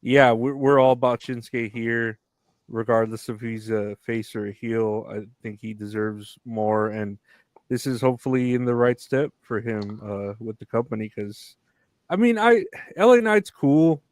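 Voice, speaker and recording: Male podcast co-host, mid-pitched, halting but blunt, recorded dry and close. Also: no echo. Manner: hesitant, restarts, opinionated. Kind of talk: casual wrestling chat.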